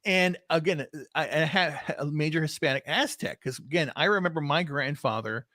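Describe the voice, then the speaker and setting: A man in his fifties from Texas, hosting a casual, opinionated talk with passion and many halting restarts. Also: a little high, sharp tone, dry recording, clean studio sound. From Texas, USA. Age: 50s. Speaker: podcast host.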